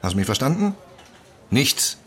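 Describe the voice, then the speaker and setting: An actor in a radio drama playing a brash, cynical friend who gives blunt, sweary, mocking advice. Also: male voice, medium pitch, big pitch swings, normal speed, a bit bright. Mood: reassuring, blunt. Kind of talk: naturalistic radio drama acting.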